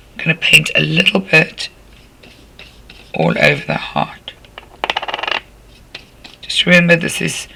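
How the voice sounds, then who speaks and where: A man with a croaky voice, patiently walking a class through a craft demonstration step by step as their art tutor. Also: fairly high pitch, pushed and bright, low-quality recording. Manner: patient, instructive, talking while working with hands.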